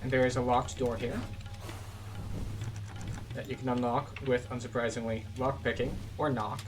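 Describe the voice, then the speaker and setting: A man's voice: medium pitch, little pitch movement, moderate pace. Man guiding gameplay, a bit off mic. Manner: matter-of-fact, explanatory. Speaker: video game tour guide. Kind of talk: casual playthrough commentary. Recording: distant mic, loud game music.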